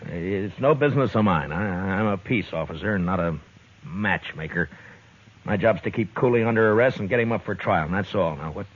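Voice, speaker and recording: A low, gruff frontier marshal. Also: male voice, expressive, fairly quick. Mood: resigned, dry. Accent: American. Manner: gruff, terse, authoritative. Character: tough, dry, plainspoken frontier marshal, adult man. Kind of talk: 1950s Western radio drama acting. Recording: dull, muffled 1950s radio recording.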